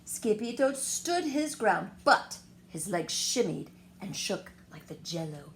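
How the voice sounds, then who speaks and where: A woman reading a children's picture book aloud in an expressive, dramatic storytelling manner. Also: mid-range voice, a little hiss or hum. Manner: expressive, dramatic pauses and whispers.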